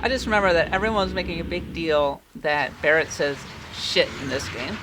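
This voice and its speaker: A man's voice: fairly high pitch, a little fast, background noise. A man giving casual, opinionated commentary as a video game critic.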